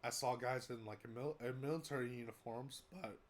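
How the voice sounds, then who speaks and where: A congested young man from the United States, stuffy from a cold. He talks to the camera in a loose, rambling way, with plenty of ums, uhs and restarts, and his pitch sits in an ordinary middle range. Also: fairly even intonation, brisk. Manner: rambling, many fillers and restarts. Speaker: vlogger. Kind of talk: casual update talk to camera.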